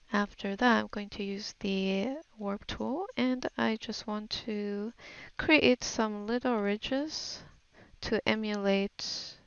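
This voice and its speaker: A woman talks through a digital art tutorial at an unhurried pace. Her voice has a lot of gravelly fry at a middle pitch. She sounds unscripted and tentative, murmuring to herself as she thinks aloud. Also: no echo, poor audio.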